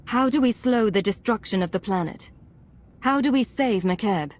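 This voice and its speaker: A woman voicing a resolute female agent who commands a covert team in a video game, speaking with measured authority. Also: fairly high pitch, lively intonation, natural voice. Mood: firm, focused. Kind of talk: scripted video game acting.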